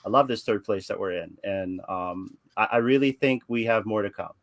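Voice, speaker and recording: A man talking casually on a video call, halting and repeating words as he tells a story. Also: lowish voice, no echo. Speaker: podcast host guest.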